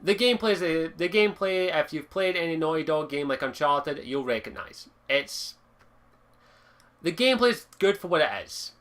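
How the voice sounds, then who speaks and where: Young Lowland Scottish guy, game reviewer, bright and punchy, sweary and rambling. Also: animated, moderate pace. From Scotland. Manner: enthusiastic, frequent swearing, restarts and fillers. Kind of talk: casual video game review monologue.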